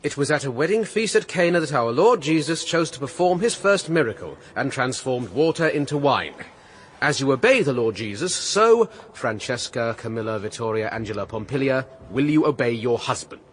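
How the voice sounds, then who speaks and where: A solemn priest, played by a man, officiates formally in a radio play. His voice is middling in pitch, with faint ambience around it. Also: expressive, normal speed, slight background noise, close to an everyday voice. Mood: solemn, commanding. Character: solemn priest conducting a hurried wedding. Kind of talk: ceremonial radio drama speech.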